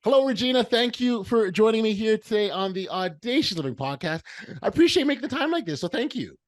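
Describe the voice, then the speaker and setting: A man hosting a podcast, asking questions in a halting way with many restarts. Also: sits high, expressive, no echo, clean studio sound. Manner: halting, lots of restarts and fillers. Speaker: podcast host. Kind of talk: interview hosting.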